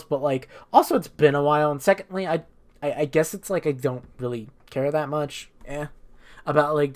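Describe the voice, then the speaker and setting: A speaker giving a casual, improvised story recap as a gaming streamer, rambling and irreverent with plenty of swearing. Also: medium pitch.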